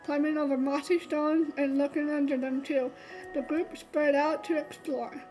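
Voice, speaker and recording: A woman's voice: sits high, flat delivery, moderate pace, a little mellow, faint music behind, rough recording. A woman streamer reading a visual novel aloud, stumbling and restarting often and adding chatty asides. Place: streaming setup.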